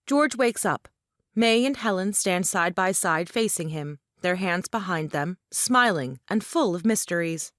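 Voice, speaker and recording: A woman's voice: fairly low pitch, expressive, measured pace, a bit bright, dry recording. Woman narrator, close and dry. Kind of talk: scripted narration of stage directions.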